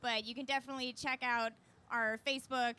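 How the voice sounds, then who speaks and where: A woman's voice: fairly high pitch, flat delivery, a little fast, sharp tone, clean tone. A woman co-hosting a casual live panel on a convention stage. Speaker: panel co-host. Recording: noisy live event room.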